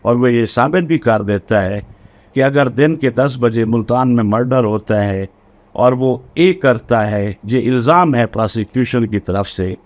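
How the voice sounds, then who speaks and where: A man's voice: medium pitch, unhurried. A man with a Pakistani accent giving an explanatory law lecture in a didactic way, repeating and rephrasing key points. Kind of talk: explanatory law lecture mixing Urdu and English.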